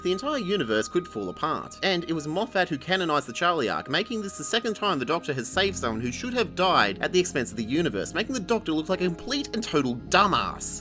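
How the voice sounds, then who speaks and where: Australian male reviewer, lively swinging intonation, emphatic and sardonic, swears freely, music underneath. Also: fairly high pitch. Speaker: video review host. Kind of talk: scripted opinionated review narration.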